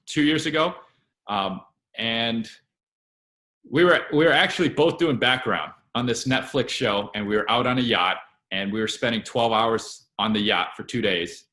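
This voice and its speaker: A man in his late twenties, a guest actor, telling his story in a relaxed, steady way with a few fillers. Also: medium pitch, moderate pace, roomy sound. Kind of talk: casual interview chat.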